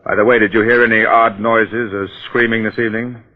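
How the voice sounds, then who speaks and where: An actor playing a polite, persistent police detective in 1940s radio drama, probing and courteous. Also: male voice, on the low side, level tone, fairly quick, close to an everyday voice. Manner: probing, courteous, hesitant phrasing. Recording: muffled, narrow-band old radio recording.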